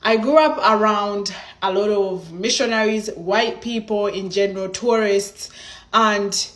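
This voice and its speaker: A woman with a Ugandan accent speaks at a medium pitch, recounting her observations from home. She is blunt and teases a little as she talks. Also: measured pace. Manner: frank, lightly mocking. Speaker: content creator in a played clip. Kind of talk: storytelling commentary.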